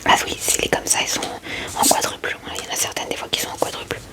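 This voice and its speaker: Whispering woman doing soft ASMR. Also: quick pace. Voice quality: breathy, unvoiced whisper. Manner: gentle, informal, murmuring short remarks to viewers. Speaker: ASMR video creator. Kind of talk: whispered ASMR card-opening commentary. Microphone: close ASMR microphone.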